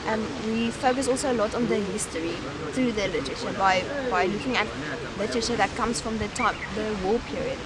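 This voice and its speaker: A young South African woman with an Afrikaans-speaking background and a South African accent, talking in a relaxed, thoughtful way with frequent pauses and restarts while she is interviewed outdoors on a campus. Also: mid-range voice, a loud crowd. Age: young adult. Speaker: university student. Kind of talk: casual interview. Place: outdoors in a sunny campus garden.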